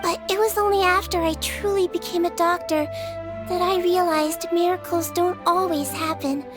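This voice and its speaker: A woman voicing a small, childlike Melusine head nurse in a video game, gentle, earnest, polite and measured. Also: extremely high pitch, steady tone, over music. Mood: wistful. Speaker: nurse and doctor character.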